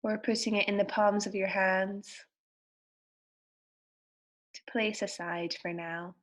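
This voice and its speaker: A woman leading a guided meditation, speaking in a soothing, gentle manner with unhurried phrases and pauses. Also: medium pitch, fairly even intonation.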